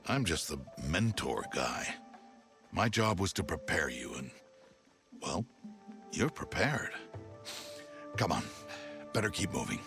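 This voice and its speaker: An actor voicing a gentle, proud, fatherly mentor in a comedic animated game, earnest and a little hesitant. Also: sits low, takes it slowly, long pauses, over music, heavily acted. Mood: proud, wistful. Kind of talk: animated video game cutscene acting.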